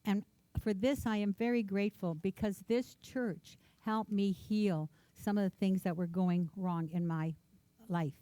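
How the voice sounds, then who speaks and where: A woman's voice: mid-range voice, fairly even intonation, unhurried, dark tone, no echo. A woman minister, a native Ukrainian speaker, gives an earnest, reflective personal sermon in a church, repeating words for emphasis.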